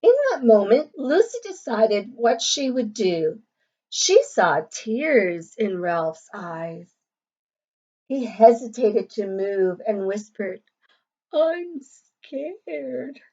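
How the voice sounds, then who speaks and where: A woman reading a children's story aloud in a deliberate way, checking in with her young listeners, on a slightly dull recording. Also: medium pitch, lively intonation, darkish tone. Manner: deliberate, checks in with listeners using tag questions. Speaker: storybook read-aloud narrator, educator.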